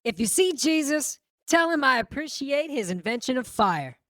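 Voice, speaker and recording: A woman voicing a vengeful, gleefully cruel boy in an audiobook, with a taunting, drawn-out delivery. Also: high-pitched, big pitch swings, a bit bright, no echo, clean studio sound. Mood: menacing taunts. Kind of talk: audiobook character acting.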